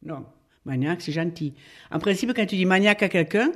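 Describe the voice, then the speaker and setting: A woman chatting and telling family anecdotes in a relaxed way. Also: fairly low pitch, fairly quick. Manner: chatty, storytelling.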